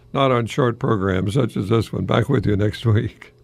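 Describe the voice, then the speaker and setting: A man's voice: on the low side, creaky, darkish tone. An older man, a retired English professor hosting a folksy radio monologue, musing hesitantly with rhetorical questions and repeated phrases.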